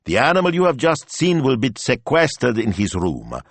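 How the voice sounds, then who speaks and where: A man's voice: mid-range voice, wildly swooping pitch, normal speed, dry recording, pitched above the performer's own voice. A man voicing a cheerful male hotel owner who talks in an eager, reassuring way.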